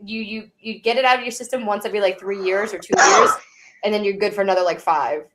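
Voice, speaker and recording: A woman's voice: mid-range voice, steady tone, fast talker, very noisy. A woman, co-hosting a live podcast as a rambling storyteller. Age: 30s. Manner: rambling storyteller, lots of "like" and restarts. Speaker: podcast co-host. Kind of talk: casual live-stream chat.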